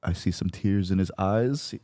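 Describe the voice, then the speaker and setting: A man's voice: low voice, normal speed, mellow. A man in casual banter, joking dryly with a mock-wounded air.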